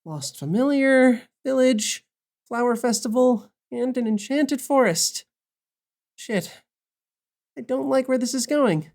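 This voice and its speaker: A woman's voice: sits high, animated, slow pace, darkish tone, exaggerated voice. A woman playing a kind, wry young male familiarist who is easily flustered, talking casually and expressively in an audio drama. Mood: anxious.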